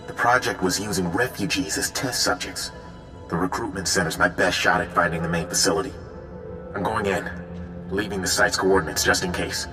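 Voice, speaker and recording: Man playing a deep-voiced, grim field agent. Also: close to an everyday voice. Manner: terse, clipped reporting. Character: grim, determined field agent leaving recorded report. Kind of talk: video game voice acting.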